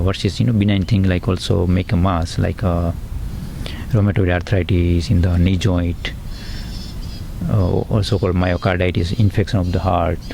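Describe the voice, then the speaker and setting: A man's voice: low voice, vocal fry, dark tone, slight background noise. A middle-aged man with an Indian accent, a pathologist guest giving earnest explanatory answers with frequent fillers and "right, right".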